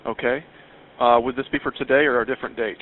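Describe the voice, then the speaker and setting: A man's voice: medium pitch, steady tone, quick pace, slight background noise. A man working the phone at a pizza shop, giving brief customer service replies over a dull line. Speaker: pizza shop employee taking calls.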